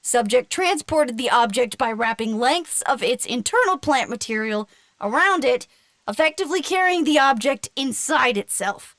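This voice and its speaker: An actress playing a formal, detached narrator reading scientific experiment logs in a precise, impassive way. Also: female voice, high-pitched, lively intonation, normal speed, a bit bright. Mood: clinical. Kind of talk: scripted narration, clinical report reading.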